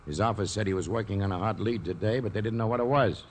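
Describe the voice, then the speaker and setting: A blunt American police lieutenant, played by a man in an old radio mystery. The voice is low and dry, the delivery terse, with faint hiss behind it. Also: fast, lightly acted. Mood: matter-of-fact. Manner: terse, matter-of-fact. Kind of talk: 1940s radio acting.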